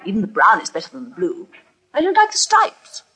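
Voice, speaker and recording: A woman's voice: medium pitch, wildly swooping pitch, a little fast, pushed and bright, low-quality recording. A woman playing a haughty, fussy, impatient scold in an imperious manner, in a vintage radio drama.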